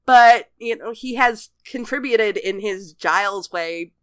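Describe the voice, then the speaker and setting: A woman's voice: medium pitch, slow pace, clear, resonant tone, no room sound. A woman co-hosting a podcast, talking casually about a television show. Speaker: podcast co-host. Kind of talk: casual TV episode discussion chat.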